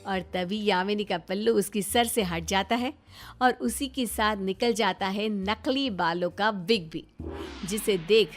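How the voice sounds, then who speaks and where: A woman telling a scripted story as a dramatic narrator. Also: medium pitch.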